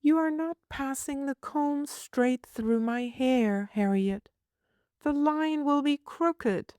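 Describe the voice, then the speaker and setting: A woman's voice: high voice, slow pace, a little mellow, no room sound, clean studio sound, pitched above the performer's own voice. A woman voicing a small, solemn, homesick little girl in a storybook, speaking in precise, imperious, formal phrases. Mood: imperious, particular.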